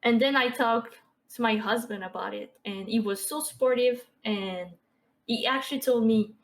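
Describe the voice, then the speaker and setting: A woman in her twenties with a Québécois French accent, a guest on a podcast, chatting casually with many fillers and soft laughs. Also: on the high side, moderate pace. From Quebec. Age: 20s. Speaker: podcast guest, streamer and artist. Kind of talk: casual interview chat.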